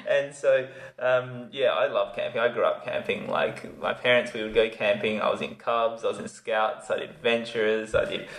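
A man's voice: medium pitch, steady tone, normal speed, few pauses, a bit bright, reverberant, low-quality recording. A man, co-hosting a podcast, chatting enthusiastically and rambling a little. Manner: enthusiastic, rambling, uses fillers like "like". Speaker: podcast co-host. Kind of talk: casual chat.

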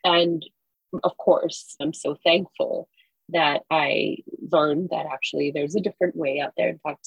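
A woman's voice: sits low, creaky, husky, no room sound, poor audio. A woman from Singapore, an author and consultant, answering interview questions in an earnest, emphatic, encouraging way.

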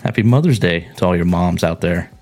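A man's voice: sits low, fairly quick, a little mellow, faint music behind. A man hosting a casual podcast, joking around and chatting easily with his co-host. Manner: joking, playful. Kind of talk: casual chat.

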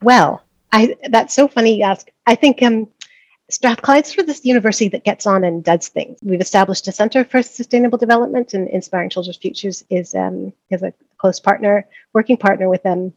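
A woman's voice: medium pitch, steady tone, fairly quick, darkish tone, dry recording, muffled recording. A middle-aged woman from Canada, a professor of practice being interviewed, talking thoughtfully with many restarts and fillers. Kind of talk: conversational interview.

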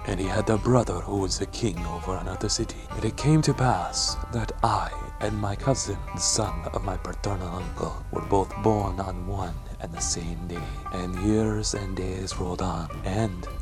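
A man playing a remorseful king's son who recounts his past in formal, measured, theatrical audio drama narration. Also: low-pitched, fairly even intonation. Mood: solemn, reminiscent. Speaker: storyteller. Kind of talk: scripted audio drama narration of an archaic tale. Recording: music behind the voice. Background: music.

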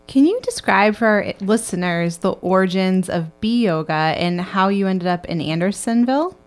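A woman's voice: mid-range voice, lively intonation, moderate pace. A woman hosting a podcast, asking a guest friendly, curious questions. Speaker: podcast host. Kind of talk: interviewing a guest.

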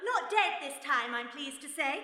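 Radio play lead: unflappable British lady sleuth, bright and commanding, dry wit, recorded live in a reverberant hall. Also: high-pitched, animated, normal speed. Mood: dry composure. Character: unflappable, genteel British lady sleuth, mother of grown son. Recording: echoey hall, live stage.

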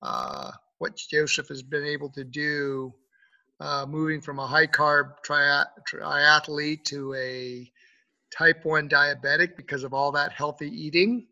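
A male podcast host gives a spoken introduction. His voice is rather high and very sharp, with creaky edges, and his phrasing halts and stretches. The audio is a bit dull. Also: takes it slowly.

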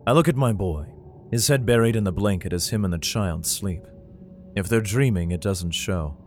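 Actor as a low-voiced, weary, guarded father. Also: close to an everyday voice. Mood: brooding. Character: weary protective father, guarded survivor narrating his flight. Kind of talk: audio drama acting with first-person narration.

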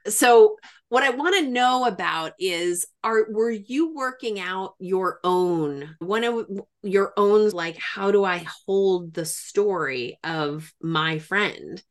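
A woman's voice: medium pitch, slow. A woman hosting a reflective interview, speaking in an effusive, emphatic way. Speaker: interviewer, podcast host.

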